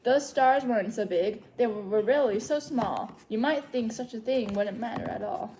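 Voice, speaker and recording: A woman's voice: a little high, moderate pace, dark tone, slight background noise, low-quality recording. A woman hosting a children's storytime, reading a storybook aloud with asides to young listeners.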